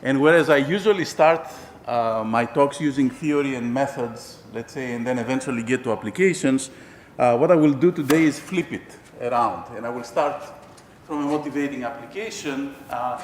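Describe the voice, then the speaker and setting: A man giving an academic lecture as an invited professor, measured and explanatory, with frequent fillers. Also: mid-range voice, slight background noise. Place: large lecture hall. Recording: echoey hall with faint ambience.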